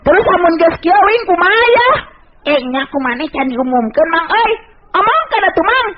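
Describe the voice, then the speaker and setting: A man's voice: extremely high pitch, very animated, measured pace, dull audio, very noisy, pitched above the performer's own voice, heavily acted. A male storyteller voicing a brash, boastful teenage boy fighter in an old radio tale, cocky and whiny.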